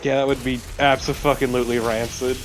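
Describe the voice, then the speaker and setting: A man's voice: medium pitch, level tone, fast, noisy background. A man chatting casually as a co-commentator on a game, joking and swearing freely. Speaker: let's play co-commentator. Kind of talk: casual chat over gameplay.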